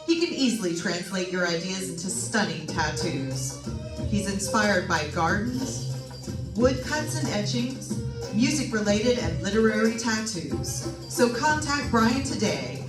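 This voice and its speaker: A woman's voice: medium pitch, sing-song, a little slow, over music. A woman announcer delivering a scripted sponsor message in radio advertisement style. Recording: roomy, distant stage microphone pickup.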